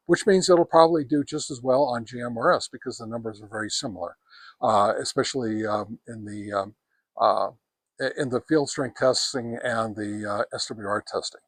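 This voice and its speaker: A man giving a casual product review outdoors on a backyard deck, talking through test results in a halting, folksy, conversational way. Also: medium pitch, a bit bright, husky, no echo. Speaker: host of radio gear review channel.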